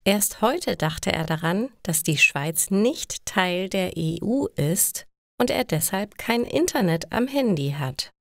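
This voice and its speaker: A woman reading simple scripted stories for language learners in a measured, clearly enunciated way. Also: medium pitch, lively intonation, no echo, clean studio sound. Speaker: language-learning story narrator.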